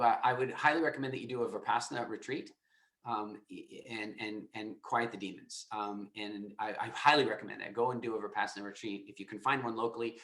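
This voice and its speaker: A man's voice: on the low side, fairly bright tone, roomy sound. A man hosting a live call, answering a caller in an emphatic way, often repeating himself and restarting his sentences. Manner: emphatic, repetitive, many restarts. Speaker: host. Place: lives in Estonia.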